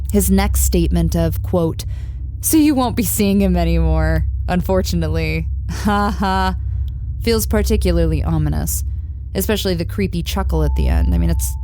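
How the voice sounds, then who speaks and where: A woman's voice: lowish voice, mellow. A woman hosting a scripted true-crime podcast, narrating in a sardonic, conversational way with casual swearing and asides. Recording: music behind the voice. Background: background music.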